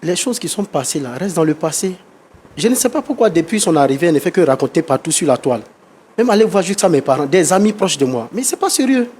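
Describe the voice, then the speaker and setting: A man who has the flu, a guest on a reconciliation talk show who gets defensive quickly and repeats himself. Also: fairly high pitch, sing-song, a little mellow, slight background noise.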